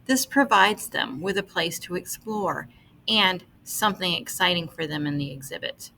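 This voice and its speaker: A woman reading an article aloud as scripted narration, with measured, deliberate phrasing. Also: fairly low pitch, gravelly, bright, forceful tone, a little hiss or hum, poor audio.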